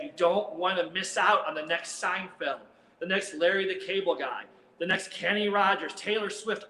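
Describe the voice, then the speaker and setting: High, bright, pleading male host. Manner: pleading, emphatic, informal. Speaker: fundraiser host at a radio station. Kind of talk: live unscripted donation appeal. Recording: echoey room with faint noise.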